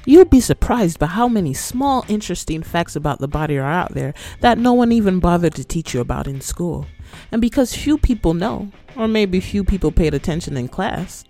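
Podcast host reading a chatty playful explainer, soft mellow tone, kinda gravelly fry, bit of music under it. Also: mid-range voice. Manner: conversational, playful. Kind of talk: scripted educational narration.

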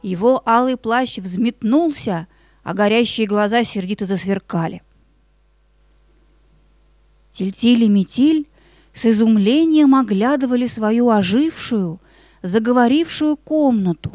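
A woman narrator reading a scripted fairy tale in an expressive, deliberate storytelling manner. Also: fairly high pitch, dry recording, soft background music.

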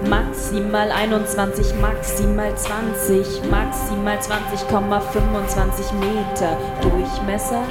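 Woman, repetitive measured recitation, monotone, echoey room, artistic collage style, prominent music. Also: medium pitch. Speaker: podcast performer. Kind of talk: artistic sound-collage recitation of technical terms. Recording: roomy echo, loud music underneath. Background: loud music.

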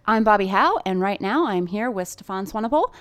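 A woman's voice: mid-range voice, lively intonation, a little fast, clean tone. A woman with a mid-range voice hosting a podcast. She interviews her guest in an upbeat, chatty way. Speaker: podcast host. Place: conference venue.

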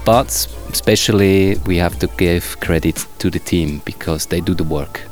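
A man's voice: low-pitched, moderate pace, a little mellow, soft background music. A man who is a DJ and bar co-owner, speaking as a guest in a friendly and slightly hesitant way. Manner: friendly, hesitant, with fillers and restarts. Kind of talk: interview conversation.

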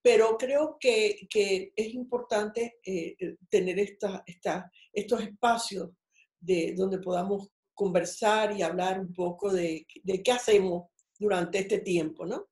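A woman's voice: medium pitch, slow, darkish tone, echoey room. Cuban-born older woman telling her story. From Cuba. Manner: rambling, personal storytelling with many restarts. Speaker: guest. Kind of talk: casual interview over a call.

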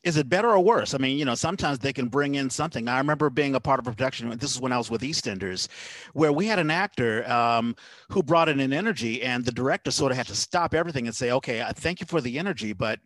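A male interview host speaks at a middling pitch in a very dry-sounding space. His style is conversational and discursive, thinking aloud as he works toward each question. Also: expressive, fast, a bit bright, dry recording.

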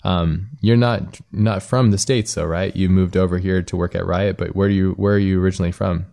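Guy hosting a chatty interview, mellow dark voice, pretty flat, kinda rambly. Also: low voice, fairly quick, vocal fry. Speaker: podcast host and interviewer. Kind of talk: casual interview chat.

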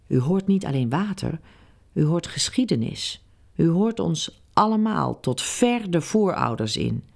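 A woman reading a meditative, incantatory text aloud, guiding listeners in a slow, hushed way. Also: extremely low pitch, gravelly. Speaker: narrator. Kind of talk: scripted meditative narration.

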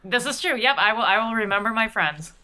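Woman, bright smooth voice, playful and chatty interview guest, conversational delivery. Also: a little high, animated, a little fast, rough recording. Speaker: podcast regular and voice performer being interviewed. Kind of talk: casual interview chat.

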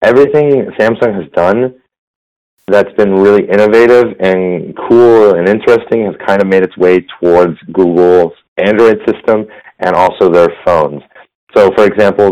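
A slightly hoarse man hosting a casual tech podcast over a phone line, rambling with fillers and restarts. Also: deep voice, moderate pace, darkish tone. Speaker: podcast host. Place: business center. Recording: phone-quality audio.

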